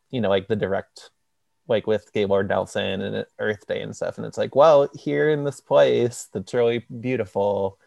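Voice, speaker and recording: A man with a low, gravelly voice talks in a casual group discussion. He rambles, packing his sentences with "like" and "you know". The sound is dry and close. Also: darkish tone, no echo. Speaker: discussion participant.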